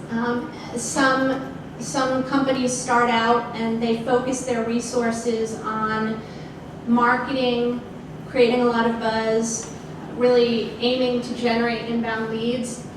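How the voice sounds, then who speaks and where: Woman, middle-aged, guest speaker, measured, many fillers, flat pitch, distant echoey hall, background noise. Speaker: guest speaker, sales executive. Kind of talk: onstage fireside chat. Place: large open office event space with audience. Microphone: handheld mic heard from a distant camera. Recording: echoey room from far off with noise.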